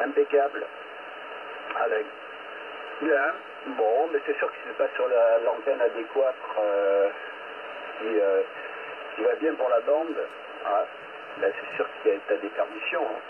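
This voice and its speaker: CB operator, male, casual chat, thin radio-band sound with clear static. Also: medium pitch, a little slow, pauses often, narrow, tinny audio. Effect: heard over two-way radio.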